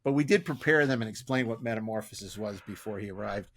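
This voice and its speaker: A man's voice: fairly low pitch, fast, noisy background. A man hosting a podcast, chatting casually and jokily in a rambling way as he promotes upcoming events. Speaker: podcast host.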